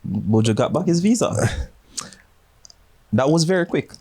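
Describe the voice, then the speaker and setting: A man's voice: a little high, lively intonation, measured pace, dark tone, husky. Laid-back Jamaican man in his thirties. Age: 30s. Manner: laid-back, laughs often, drifts between standard English and patois. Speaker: podcast co-host. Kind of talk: casual chat.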